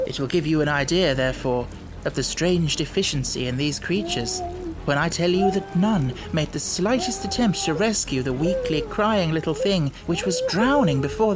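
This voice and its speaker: A man reading literary first-person narration in a measured, formal storytelling manner, as an audiobook narrator. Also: on the high side.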